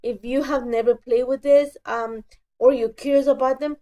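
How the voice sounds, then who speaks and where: Woman, stuffy with a cold, mellow higher voice, casual craft voice-over, chatty and self-correcting. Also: fairly even intonation, normal speed. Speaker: scrapbooking crafter.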